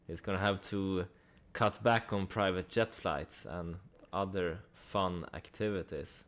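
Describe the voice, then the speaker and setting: Slow-talking man with a lowish voice. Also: steady tone. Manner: conversational, with rhetorical questions and dry asides. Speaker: host of a stock analysis channel. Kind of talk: casual investment commentary.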